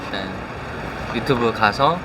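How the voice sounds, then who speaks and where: Earnest young man over loud street noise. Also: fairly low pitch, measured pace, recorded from a distance. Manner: earnest, talkative. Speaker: interview guest. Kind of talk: casual interview answers.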